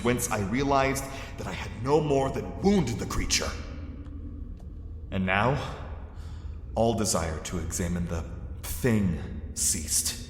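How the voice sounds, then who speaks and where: A man's voice: lowish voice, natural voice. A man acting a terrified lost explorer, narrating his ordeal in formal, theatrical gothic horror style. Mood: frantic excitement, then fearful urgency. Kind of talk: dramatic first-person gothic horror narration. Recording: echoey cavern-like reverb, faint noise.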